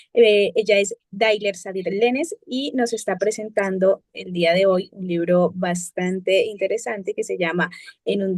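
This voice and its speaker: A woman's voice: medium pitch, darkish tone, no echo. Female event host and interviewer, formal presenting style, mid-range voice, dry recording.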